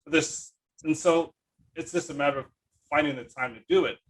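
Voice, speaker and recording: A man hosting a casual podcast chat, talking in a rambling, halting but enthusiastic way. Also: on the high side. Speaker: podcast host, writer.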